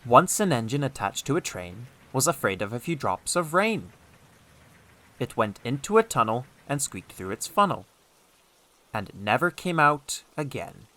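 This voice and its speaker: A man narrating a scripted children's story as an audiobook, expressive and deliberate in his storytelling. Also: mid-range voice.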